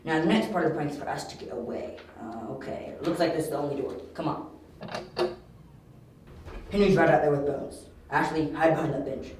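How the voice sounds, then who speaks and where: Casting: male actor as unflappable, wry sleuth in an audio drama, slightly high voice, distant from the mic. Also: a little fast, a little mellow, natural voice. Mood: reassuring. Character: quick-witted male detective undercover, wry and unflappable. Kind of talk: amateur audio drama acting. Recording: very echoey, distant, faint noise.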